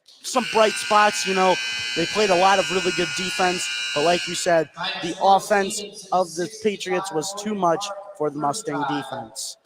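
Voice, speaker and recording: An American man doing live play-by-play basketball commentary for radio, excitable, narrating the action in short running phrases. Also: sits high, unhurried, a loud crowd. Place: indoor gym at a basketball game. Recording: noisy live sports broadcast.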